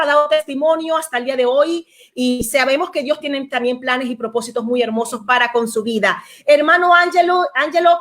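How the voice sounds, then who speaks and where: Venezuelan-born woman hosting a church show, pretty high voice, lots of amens. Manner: warm, frequent amens, preacherly. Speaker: program host.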